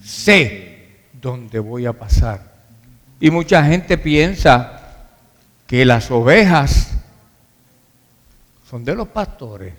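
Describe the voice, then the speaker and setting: Dominican pastor preaching in an echoing hall. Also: male voice, mid-range voice, fairly bright tone. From Dominican Republic. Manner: emphatic, conversational, repeats phrases for emphasis. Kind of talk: sermon. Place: large reverberant room, church service. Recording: hall reverb.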